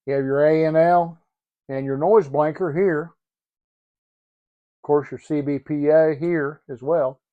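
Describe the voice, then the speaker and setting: A man presenting an informal product demonstration in a halting, matter-of-fact way. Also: on the high side, fairly even intonation, phone-quality sound. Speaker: presenter showing a used CB radio.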